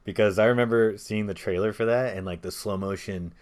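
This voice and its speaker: Low-pitched man chatting on a podcast. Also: fairly even intonation, a little fast. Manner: informal, slangy. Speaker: podcast co-host. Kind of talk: casual chat about movies.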